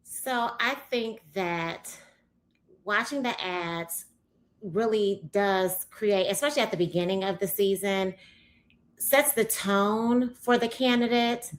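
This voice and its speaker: A middle-aged woman, a guest, talking casually in a slow, hesitant way with restarts. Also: mid-range voice, low-quality recording. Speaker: guest, the host's mother. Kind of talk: casual conversation.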